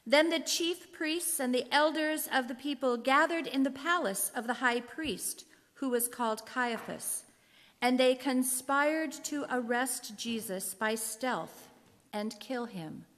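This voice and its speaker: Female narrator, fairly high voice, measured scripted scripture reading in a reverberant hall. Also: fairly bright tone.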